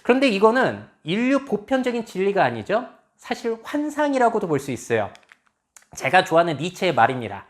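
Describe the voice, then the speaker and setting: 굴곡 많은 말투의 여성 강연자. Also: female voice, mid-range voice, sing-song, brisk. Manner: explanatory, asks the audience rhetorical questions. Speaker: lecturer. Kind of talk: lecture on future trends.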